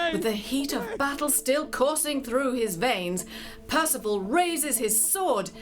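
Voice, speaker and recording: A woman narrating a medieval legend as a scripted story, with dramatic, literary, measured phrasing. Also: high voice.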